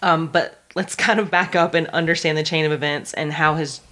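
Female podcast co-host, lowish voice, informal step-by-step history storyteller. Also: fairly even intonation, fairly quick, a bit bright. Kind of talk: conversational true history storytelling.

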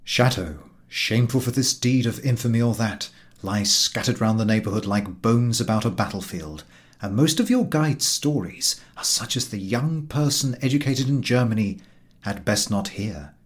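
A man's voice: mid-range voice, expressive, normal speed. A man reading a literary travel narrative aloud as an audiobook narrator. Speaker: audiobook reader.

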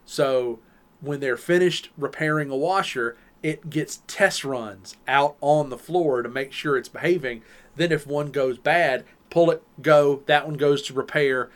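A man's voice: fairly high pitch, measured pace. A man with a Southern US accent, co-hosting a podcast and explaining things at length in casual chat. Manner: rambling, explains at length with restarts. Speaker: podcast co-host.

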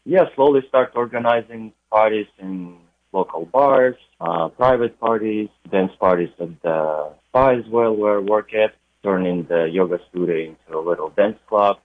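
A young man with a Ukrainian accent talks at an easy pace, filling his pauses with "uh". His voice sits a little low. It comes through a narrow, tinny phone-like line. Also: no echo. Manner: unhurried, with frequent uh pauses. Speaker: DJ and radio guest. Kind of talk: interview conversation.

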